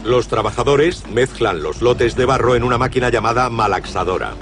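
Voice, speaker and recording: A man's voice: medium pitch, normal speed. Documentary narrator: man, scripted delivery, average register, noticeable music bed.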